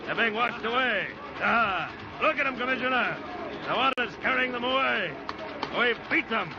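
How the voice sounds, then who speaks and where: Commanding plantation boss, old noisy radio. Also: male voice, very high-pitched, vocal fry, muffled recording. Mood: commanding, excited. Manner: forceful, brisk, cocksure. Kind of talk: old-time radio drama acting.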